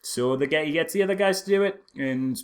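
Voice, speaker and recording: A man's voice: fairly high pitch, fairly quick. A man co-hosting a casual film review chat, speaking in a sarcastic, incredulous way with frequent asides. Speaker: podcast co-host.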